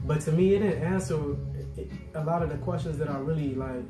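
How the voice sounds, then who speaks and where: A man's voice: fairly high pitch, brisk, echoey room, music in the background, poor audio. A man co-hosting a casual chat, giving rambling, thoughtful opinions full of fillers. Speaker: co-host.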